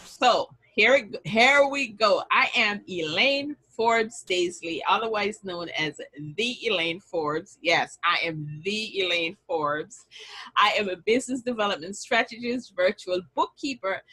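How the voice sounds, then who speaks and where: A middle-aged Jamaican woman welcomes people to an online event and slips into chatty personal stories. Her voice is bright and sits at an ordinary middle pitch. She keeps an upbeat, friendly flow. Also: unhurried, breathy edge, poor audio. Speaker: summit host. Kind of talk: casual online event introduction and storytelling.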